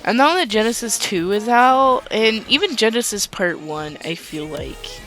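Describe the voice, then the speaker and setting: Young woman gaming host, calm soothing ramble, casual, background music under the voice. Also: fairly high pitch, measured pace, low-quality recording. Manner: calm, soothing, rambling talk with light laughs. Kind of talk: casual gameplay commentary.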